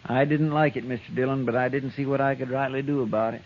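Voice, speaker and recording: A man's voice: medium pitch, steady tone, fast, slight background noise, lightly acted. An actor playing an earnest, folksy frontier lawman's helper in a 1950s radio western, respectful and fretful in his talk. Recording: dull old radio recording.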